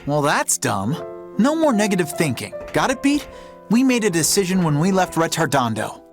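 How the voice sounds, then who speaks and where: Brash, animated teenage boy hero. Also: on the low side, normal speed, music in the background. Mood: determined. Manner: blunt, rallying, casual. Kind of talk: animated video game voice acting.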